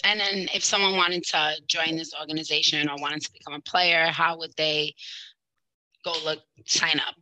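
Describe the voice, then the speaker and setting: Informal woman podcast host, slightly low voice. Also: fairly even intonation, moderate pace, bright, forceful tone, poor audio. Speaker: sports podcast host and interviewer. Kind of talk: podcast introduction and interview.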